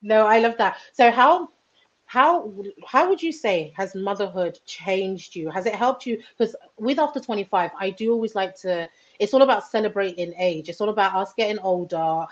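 A woman's voice: medium pitch, lively intonation, moderate pace. A woman hosts a podcast in a chatty interview style, affirming her guest often and winding her way into each question. Her voice sounds slightly dull and muffled. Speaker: podcast host. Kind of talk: casual interview chat.

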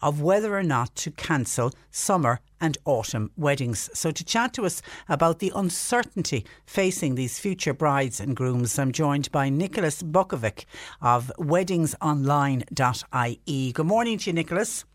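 Woman radio host, brisk questions, very lively pitch, dry studio sound. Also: no echo. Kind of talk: radio interview.

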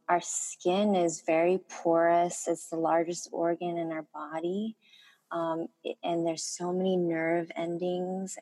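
Slow, soft-spoken woman guest. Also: lowish voice, low-quality recording. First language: Korean. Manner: soft-spoken, hesitant with many pauses and fillers. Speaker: guest, skincare maker. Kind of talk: reflective interview talk.

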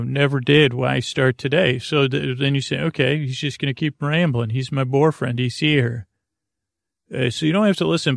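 A man rambles through a bedtime podcast intro in soft, gravelly tones. He drifts from point to point and pokes fun at himself. The sound is dry and close. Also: mid-range voice, dry recording. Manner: rambling, easily sidetracked, self-mocking. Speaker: podcast host.

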